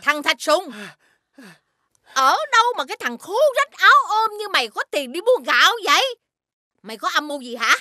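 A woman voicing a bossy, suspicious, sharp-tongued rice merchant in an animated fairy tale, haughty and interrogating. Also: high voice, normal speed, no room sound, studio quality, exaggerated voice. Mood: contemptuous.

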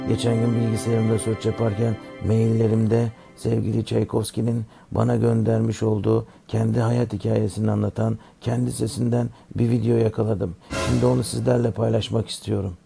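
A man voicing a kindly older music teacher in a cartoon, giving a scripted lesson to children in a gravelly voice. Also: mid-range voice.

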